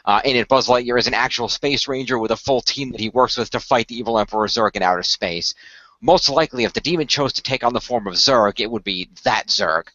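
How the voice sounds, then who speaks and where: A man performing and narrating a semi-improvised radio play in a measured, explanatory way with formal phrasing. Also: medium pitch, sharp tone, no echo. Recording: dry, dull lo-fi microphone.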